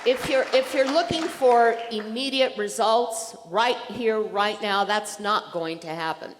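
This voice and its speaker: A woman moderating a town hall, briskly directing speakers to the microphone. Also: fairly high pitch, crowd noise. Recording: large hall reverb with faint noise.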